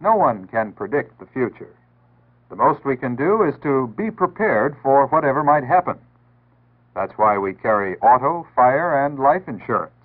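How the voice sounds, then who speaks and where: A man's voice: mid-range voice, very animated, normal speed. An American man reads a scripted 1960s public service announcement in an earnest, instructive, formal way. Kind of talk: 1960s scripted civil defense announcement narration. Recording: tinny band-limited 1960s radio-style archive audio.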